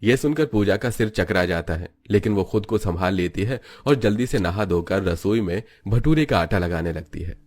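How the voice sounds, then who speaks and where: A man's voice: low voice, lively intonation, normal speed, a little mellow. A man reading scripted narration for a moral story.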